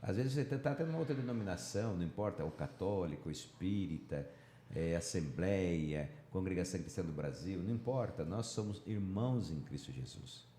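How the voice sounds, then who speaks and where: Male Brazilian Bible study host, middle-aged, low mellow voice, engaging conversational teacher, strong room echo. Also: lively intonation, brisk. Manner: engaging, seeks agreement with tag questions. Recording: roomy, echoing, faint background noise.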